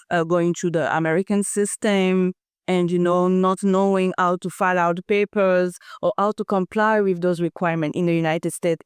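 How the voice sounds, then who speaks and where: Woman host with a low, smooth voice; asks long bilingual questions. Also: darkish tone, no room sound, clean studio sound. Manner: asks long, wandering questions, switching between English and French. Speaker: podcast host. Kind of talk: podcast interview.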